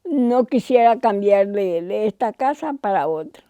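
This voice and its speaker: An older woman speaking with quiet pride about her life and home. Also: medium pitch, animated, unhurried, clear, resonant tone, no echo. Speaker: documentary interviewee. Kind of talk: interview testimony.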